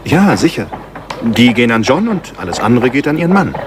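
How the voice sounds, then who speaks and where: Man playing an earnest, animated gentleman sidekick. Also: medium pitch, brisk, close to an everyday voice. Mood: confident. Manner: polite, eager, earnest. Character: earnest English gentleman, the detective's loyal, slightly baffled friend. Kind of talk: German detective radio drama acting. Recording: clear background noise and ambience.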